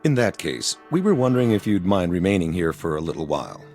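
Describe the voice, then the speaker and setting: Alien official for radio drama: probing, formal, husky, with lively swooping intonation. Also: male voice, deep voice, full character voice. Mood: polite, probing. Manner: precise, deliberate, formal. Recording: music under the voice.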